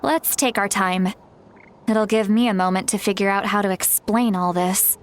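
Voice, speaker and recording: A woman's voice: medium pitch, steady tone, slight background noise, close to an everyday voice. A woman voicing an ancient, self-mocking sorceress in a video game who still sounds like a young woman. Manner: unhurried, teasing, self-mocking. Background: game music.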